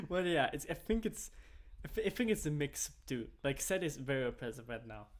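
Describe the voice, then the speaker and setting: A man's voice: fairly high pitch, moderate pace. German-native young man casting games casually. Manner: rambling, heavy use of "like" and restarts. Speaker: amateur esports caster. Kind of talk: casual solo esports commentary.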